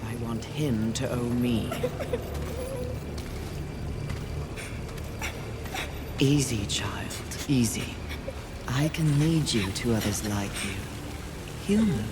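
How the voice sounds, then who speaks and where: A woman's voice: very deep voice, animated, slow, heavy background noise, exaggerated voice. An actress playing a haughty, battle-hungry female horseman of the apocalypse, sardonic and imperious, in a video game. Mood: quiet, coaxing.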